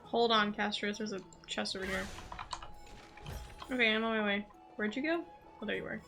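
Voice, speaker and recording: A woman's voice: mid-range voice, moderate pace, music in the background. A woman livestreaming a game, chatting casually and distractedly, swearing now and then and reading dialogue aloud. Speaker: streamer.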